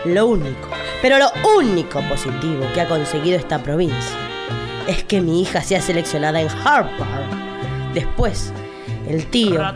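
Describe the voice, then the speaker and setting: A woman as a haughty, low-voiced Argentine politician. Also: expressive, normal speed, music in the background. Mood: scornful. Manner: pompous, scornful, declamatory. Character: haughty, boastful, scornful opposition politician, a doting mother. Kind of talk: political satire radio sketch.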